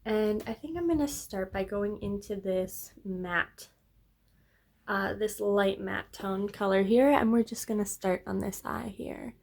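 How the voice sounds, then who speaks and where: Slightly hoarse, chatty young woman. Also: medium pitch, a little slow, a little mellow. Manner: chatty, upbeat, trailing off with fillers. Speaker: beauty vlogger. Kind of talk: casual makeup tutorial chat.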